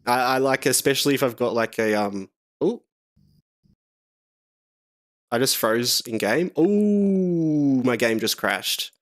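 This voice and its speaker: A man's voice: medium pitch, slow pace, pauses often. A young man casually talking through a game on a live stream, rambling with lots of fillers while slightly sick and sniffly. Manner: relaxed, rambling, many fillers.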